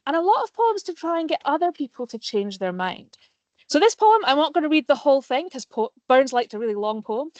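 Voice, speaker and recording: A woman's voice: on the high side, no echo. A woman giving a live online nature lesson to pupils, explaining in a friendly way with frequent ums. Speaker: nature educator. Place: plant nursery.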